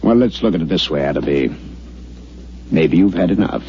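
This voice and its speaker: Low-voiced, condescending newspaper boss. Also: male voice, expressive, normal speed. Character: cold, condescending male newspaper publisher, the boss. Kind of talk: 1950s radio drama acting. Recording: muffled old radio recording with hiss.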